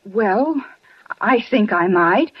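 An American actress playing a nervous, polite crime victim in a 1940s radio drama, speaking hesitantly and haltingly. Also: female voice, medium pitch, expressive, breathy edge, dry recording. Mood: nervous, earnest. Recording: muffled 1940s radio recording.

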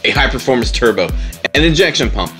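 A man's voice: mid-range voice, lively intonation, quick pace, sharp tone. A man narrating a scripted explainer about a tractor pulling class. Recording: voice-over with music bed.

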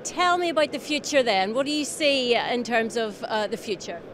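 A woman's voice: a little high, lively intonation, moderate pace, fairly bright tone, slight background noise. A woman in her thirties presenting an on-location trade show interview with a handheld microphone. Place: busy exhibition hall.

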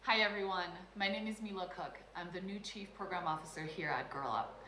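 A woman's voice: fairly low pitch, brisk, noisy background. A woman recording an earnest video greeting as a program officer, speaking with many ums from a room at home. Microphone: webcam video call style. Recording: roomy video played in hall.

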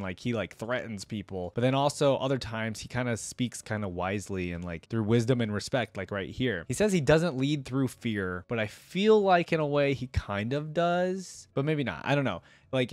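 A man's voice: medium pitch, dry recording. A man giving casual, exclamatory reaction commentary into a close desk microphone. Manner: informal, exclamatory, filler-heavy. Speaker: reaction video host.

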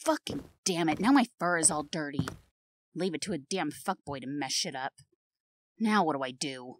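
A woman playing a foul-mouthed, aggressive young female hellhound in an animated audio drama, snarling and sarcastic. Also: deep voice, normal speed, no echo. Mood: irritated. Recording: loud background music.

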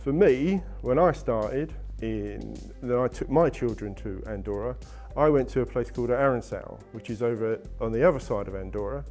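Man in his 50s, warm mellow tone, upbeat sales pitch, halting restarts, lapel mic in a studio. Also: faint music behind. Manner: enthusiastic salesy, hesitant restarts. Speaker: travel company guest. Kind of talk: interview answers. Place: indoor studio set with wooden walls.

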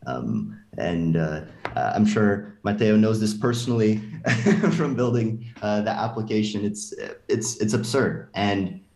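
A man's voice: fairly low pitch, lively intonation, slow, hardly any pauses, a little mellow, echoey room. A man on a video call panel, talking as a startup CEO, often hesitating with fillers and restarts.

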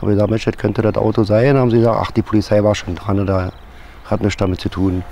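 A man's voice: lowish voice, little pitch movement, fast, vocal fry, warm tone. A man informally recalling events as a witness in an outdoor interview at a yard. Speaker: tow-truck worker, witness. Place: outdoors at a scrapyard. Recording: noisy outdoor location interview.

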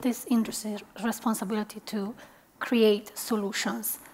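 A woman in her forties speaking for industry on a conference panel, measured and professional, through a headset mic. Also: mid-range voice, little pitch movement, background noise. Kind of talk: panel discussion. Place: indoor conference stage.

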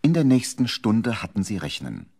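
A man's voice: fairly low pitch, wildly swooping pitch, moderate pace, a little mellow, some chatter behind. A man narrating a children's radio play as its scripted storyteller. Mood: storytelling.

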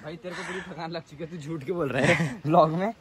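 Young man, friend on a hike, joking banter, fairly high voice, lots of people in the background. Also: fairly even intonation, fast, a crowd in the background. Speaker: friend on the trip. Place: outdoors on a hillside trail.

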